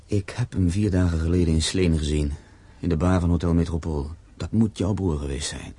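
A man's voice: deep voice, fairly quick, mellow, slightly rough, no echo. A man acting in a Dutch radio drama as a proud, grieving castle owner, speaking solemnly and emphatically. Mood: bitter, sorrowful.

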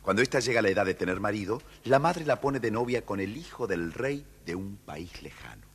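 A man's voice: lowish voice, lively intonation, a little fast, fairly bright tone, slightly rough. A man with an Argentine accent narrating a scripted children's story as the storyteller.

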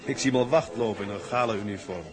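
An actor playing a modest young ship's mate with a laconic, dryly humorous manner in a period drama. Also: male voice, fairly low pitch, fast, over music, lightly acted. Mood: modest, easygoing. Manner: laconic, dry humour. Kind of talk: period screen drama dialogue.

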